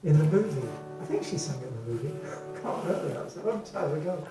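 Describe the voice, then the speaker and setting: An older man from England with a soft voice, chatting to a live audience between songs in an easygoing, rambling way, with plenty of ums. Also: a little high, expressive, fast, music in the background. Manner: rambling, reminiscing, frequent ums. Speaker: singer-songwriter. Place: live venue. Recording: echoey live room from far off.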